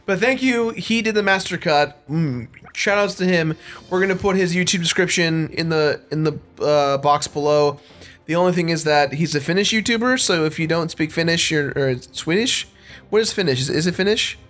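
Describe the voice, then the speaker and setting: A man's voice: a little high, normal speed, fairly bright tone. Self-deprecating young man over game music. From United States. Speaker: let's play co-host. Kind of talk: casual gaming banter.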